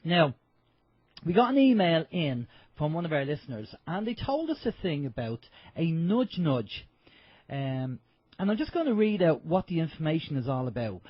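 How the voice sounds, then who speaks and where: A man with a husky voice, co-hosting an internet radio show in a chatty, upbeat way with fillers. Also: fairly high pitch, very animated, normal speed, dry recording. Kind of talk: casual radio chat. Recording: dull lo-fi internet radio audio.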